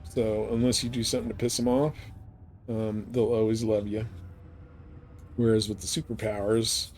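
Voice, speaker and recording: A man's voice: on the low side, flat delivery, normal speed, darkish tone, a little hiss or hum. A man giving casual, rambling gameplay commentary as a live streamer, explaining things as he goes.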